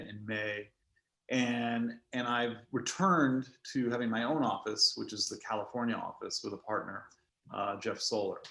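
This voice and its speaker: A man speaking casually and somewhat haltingly as he hosts an interview. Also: mid-range voice, a bit bright, reverberant. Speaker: interviewer, architect.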